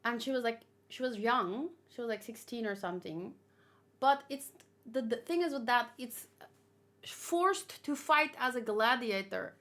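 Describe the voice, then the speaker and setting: A woman's voice: fairly high pitch, animated, measured pace, fairly bright tone. A woman giving informal, opinionated commentary as she hosts a casual live read-along and talks with chat. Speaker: manga read-along host.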